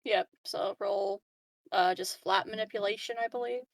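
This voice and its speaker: A woman's voice: medium pitch, fairly even intonation, normal speed, gravelly, dry recording. A woman chatting casually as a player in a tabletop game.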